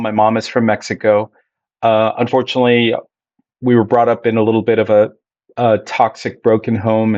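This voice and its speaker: Low, flat-voiced man telling his story. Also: no room sound, muffled recording. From Ecuador. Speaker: Spanish ministry pastor, guest. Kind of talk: conversational interview.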